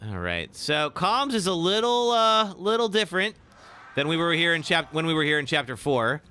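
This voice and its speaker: A young man, slightly raspy today, chatting casually while live streaming a game and answering chat. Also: a little high, big pitch swings, moderate pace. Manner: chatty, reacts with sudden loud exclamations; filler-heavy, answers chat. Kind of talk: live gameplay commentary.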